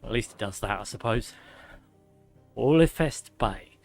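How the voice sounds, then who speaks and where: A man streaming a game, reading lore aloud with sarcastic asides, his voice going hoarse and a little out of breath. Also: medium pitch, lively intonation, unhurried, darkish tone. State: slightly out of breath. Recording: faint music behind.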